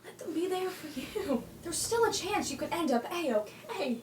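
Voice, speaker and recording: A woman's voice: extremely high pitch, sing-song, moderate pace, darkish tone, a little hiss or hum. A woman playing a caring, hopeful aunt in a 1940s American radio play, speaking earnestly and reassuringly. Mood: earnest, pleading. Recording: roomy, far from mic, music behind.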